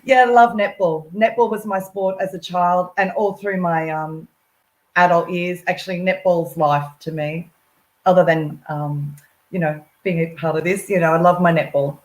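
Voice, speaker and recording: A woman's voice: fairly low pitch, unhurried, reverberant. A chatty, enthusiastic middle-aged Australian woman, a volunteer guest on a casual live stream who laughs often.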